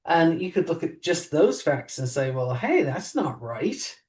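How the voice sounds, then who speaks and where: A woman co-hosting a podcast in casual chat, musing and lively, her pitch jumping up on emphatic questions. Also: a little slow. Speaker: podcast co-host. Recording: voice a bit distant from mic.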